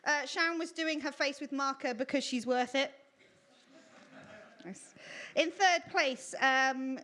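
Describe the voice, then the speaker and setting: A woman with a high voice presents at a live storytelling event. She reads out entries and announces in an informal style, with a giggly, jokey and self-deprecating manner. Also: a bit bright. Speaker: presenter announcing writing contest winners.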